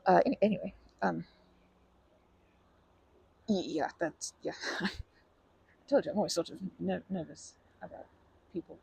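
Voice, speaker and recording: A timid, kind stranger played by a performer for an ASMR roleplay. The voice is husky and breathy, heavily creaky, and sits at a middling pitch. It stumbles over words and apologizes anxiously, wary of intruding. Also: a little mellow, rough recording. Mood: shy, awkward. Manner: halting, stammering, over-apologetic, nervous laughter. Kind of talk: ASMR roleplay, improvised-sounding monologue to listener.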